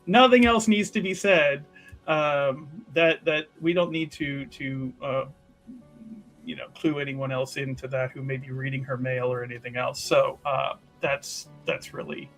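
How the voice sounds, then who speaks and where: A man narrating his character's actions at a tabletop game in a casual, hesitant, halting way. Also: mid-range voice, steady tone, vocal fry, a bit bright, poor audio. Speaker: player in a tabletop roleplaying game. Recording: faint music behind.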